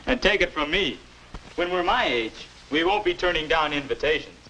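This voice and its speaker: Animated young American advisor, 1950s film. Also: male voice, on the high side, moderate pace, slight background noise. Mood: knowing, firm. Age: young adult. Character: confident 21-year-old future self, patient persuasive advisor. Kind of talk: 1950s educational film narration and acting. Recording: dull 1950s film soundtrack.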